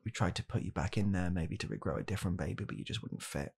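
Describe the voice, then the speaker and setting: A young British man in a hushed, halting, deadpan comedic ASMR roleplay, playing a bitter, weary father coldly blaming his child. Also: sits low, creaky, breathy edge. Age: young adult. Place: small home room, indoors.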